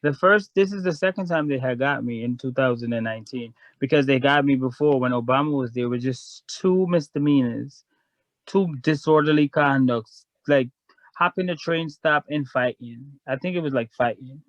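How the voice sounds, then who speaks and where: A man's voice: medium pitch, normal speed, dry recording, rough recording. A man from Guyana, a guest in a casual chat, telling a long story in a frank, rambling way.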